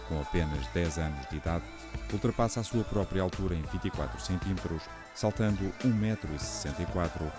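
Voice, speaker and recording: Deep-voiced documentary narrator. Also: male voice. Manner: measured, formal. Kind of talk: scripted narration. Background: music.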